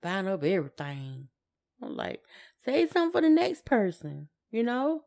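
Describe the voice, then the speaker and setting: A woman's voice: medium pitch, very animated, moderate pace, gravelly, darkish tone. An American woman co-hosting a podcast, talking casually and colloquially, repeating words for emphasis. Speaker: podcast co-host.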